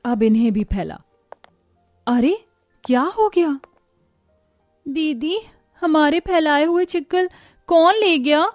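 A woman voicing a poor young village sister, earnest and expressive, in an animated moral story. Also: high-pitched, slow, long pauses, no room sound, soft background music. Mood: busy, cheerful, then shocked, worried.

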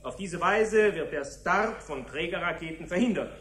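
A man's voice: sits high, expressive, moderate pace, a bit bright, echoey room. A man playing an officious, courteous tour guide in a radio play, speaking in formal phrases. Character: officious male tour guide, polite and proper.

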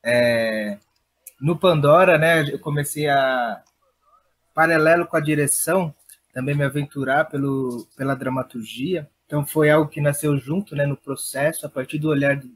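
A man's voice: medium pitch, a little slow, husky. A man with a São Paulo Brazilian accent speaking casually as an interview guest, hesitant and often checking in as he explains. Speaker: guest, theatre director and playwright.

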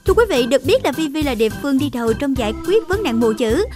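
Casting: perky young female cartoon reporter, high-pitched, fast-talking, faint music behind. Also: big pitch swings. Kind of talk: field-report interviewing.

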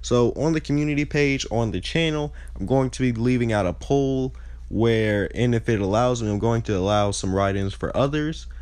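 A man's voice: mid-range voice, normal speed, a little mellow, smooth, no echo. A young man hosting a gaming channel, chatting casually with a nasal, stuffed-up voice and often losing his train of thought. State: congested. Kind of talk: casual chat over gameplay.

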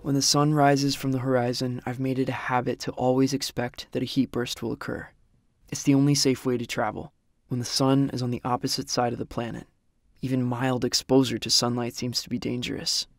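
A young male survivor of a ruined world, played by an actor speaks in a creaky, fry-edged voice. He is weary and reflective, with the somber feel of an audio drama. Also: mid-range voice, little pitch movement, a little fast, dry recording, clean studio sound, lightly acted. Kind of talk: audio drama narration and acting.

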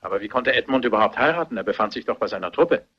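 An actor playing an earnest, urgent secret lover in a German radio mystery play. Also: male voice, medium pitch, expressive, very fast, slightly rough. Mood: concerned. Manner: earnest, pressing. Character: urgent, devoted secret lover named Paul. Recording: muffled, dull radio play recording.

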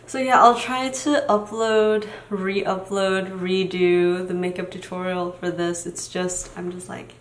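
Lowish-voiced chatty young woman, echoey room. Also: little pitch movement, takes it slowly, hardly any pauses, a little hiss or hum. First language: Chinese. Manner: chatty, rambling, trails off into mumbles. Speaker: beauty vlogger. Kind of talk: casual chat. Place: small room indoors.

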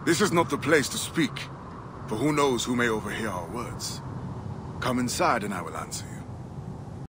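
An actor playing a proud, dignified ancient Egyptian official in a video game, formal and self-assured. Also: male voice, mid-range voice, moderate pace, pauses often, background noise, lightly acted. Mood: formal, guarded. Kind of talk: video game cutscene acting. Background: clear music.

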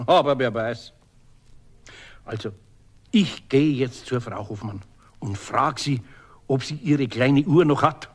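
A man's voice: medium pitch, takes it slowly, long pauses. An actor playing a worried, good-hearted middle-aged craftsman with a Bavarian accent in a children's radio play, hesitant and homely.

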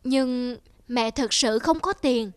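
A woman playing a poor, self-sacrificing elderly mother in an animated moral tale, patient, plaintive and weary. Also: fairly high pitch, slow, dry recording, studio quality. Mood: pleading.

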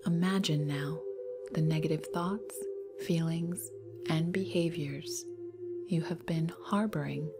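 A woman guides a meditation in a low-pitched, half-whispered voice. Her delivery is very slow and soothing, with long pauses between phrases. Music plays clearly behind her. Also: fairly even intonation.

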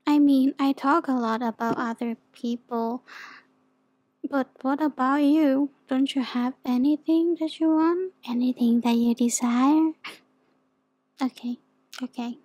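Woman playing a soft, slow-talking naive demon girl. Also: sits high, steady tone, dry recording. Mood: gently coaxing. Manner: hesitant, innocent, gently questioning. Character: soft, naive, insecure young female demon. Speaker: ASMR roleplay performer. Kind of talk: soft ASMR roleplay.

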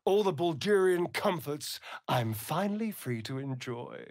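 A vain sly vampire for a video game, silky n theatrical, mocking slow drawl, super dry sound. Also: male voice, a little high, expressive, darkish tone, no room sound. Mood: sardonic, amused. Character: sly, vain male vampire rogue, flamboyant and sardonic. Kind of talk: video game character voice lines.

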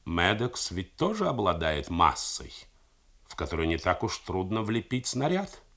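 A doubtful naval officer, as a man voices him reasons in a measured, low voice. He sounds quieter and deeper than the narration around him. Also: sing-song, heavily acted. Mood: sober.

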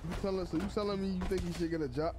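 A man streaming a role-play game, bantering excitedly in slang and often repeating himself. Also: high-pitched, rapid-fire. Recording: noisy game audio with vehicle ambience.

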